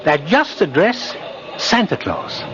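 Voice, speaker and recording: An actor playing a gentle, twinkly old man who says he is Santa Claus, coaxing and halting in a 1950s radio drama. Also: on the high side, big pitch swings. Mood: tender, serene. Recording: muffled, noisy vintage radio recording.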